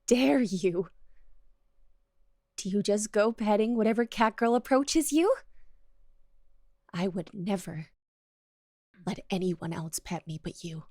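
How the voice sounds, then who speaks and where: A woman's voice: medium pitch, no room sound, studio quality, heavily acted. A woman playing a jealous, possessive young cat girl girlfriend in an ASMR roleplay, speaking to the listener in a coy, accusing yet affectionate way.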